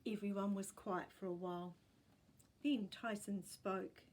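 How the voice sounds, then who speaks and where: A woman with a New Zealand accent reading a children's story aloud in an expressive storytelling style. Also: mid-range voice, measured pace, slight background noise.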